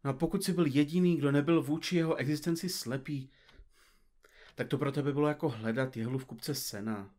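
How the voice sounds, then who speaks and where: A man's voice: fairly high pitch, fairly even intonation, a little mellow, close to an everyday voice. An actor playing a playful, wry male detective sidekick in an audio drama, with a theatrical way of talking. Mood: matter-of-fact.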